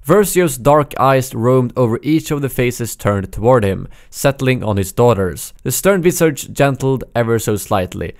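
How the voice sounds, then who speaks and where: A man with a Swedish accent who hosts a gaming news channel, reading a novel excerpt aloud in a steady, plain voice. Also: mid-range voice, unhurried, few pauses.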